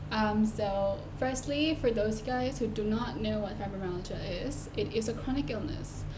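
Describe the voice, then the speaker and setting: Chatty teenage girl, noisy recording. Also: medium pitch. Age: late teens. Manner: rambling, many "like" and "um" fillers, restarts. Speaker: vlogger. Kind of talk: unscripted casual talk to camera.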